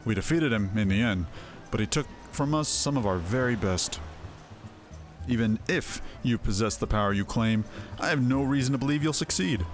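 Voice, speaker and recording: A man's voice: medium pitch, animated, moderate pace, natural voice. A man voicing a stern, sceptical veteran mercenary captain in a fantasy game, speaking in a blunt, formal, archaic way. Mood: stern, doubtful. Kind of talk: voiced fantasy game dialogue. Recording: game music behind voice. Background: game music.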